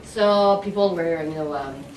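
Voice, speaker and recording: A woman's voice: sits low, unhurried, darkish tone. A woman whose first language is French, speaking with a French Canadian accent, giving a chatty conference presentation and searching for words now and then. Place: conference room. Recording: echoey hall, distant mic, audience noise.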